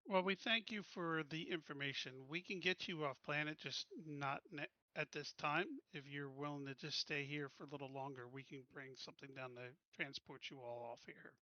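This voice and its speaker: Bright-voiced man speaking in character. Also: on the high side, steady tone, normal speed, hardly any pauses, no room sound. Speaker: player. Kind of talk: speaking in character at a game table. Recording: slightly dull lo-fi audio.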